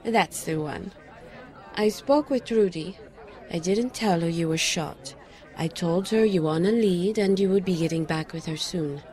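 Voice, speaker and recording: An actress playing a petite, caring but sharp-tongued former lover in a noir audio drama, speaking earnestly and pointedly. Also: female voice, on the low side, a little slow, some chatter behind, natural voice. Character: tiny, sharp-tongued, caring female old flame, a pixie.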